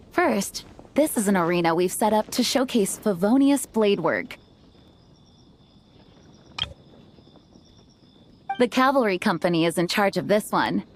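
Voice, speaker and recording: An actress playing an earnest, modest young knight commander in an anime game, speaking formally and politely. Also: female voice, medium pitch, close to an everyday voice. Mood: composed, helpful. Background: background music.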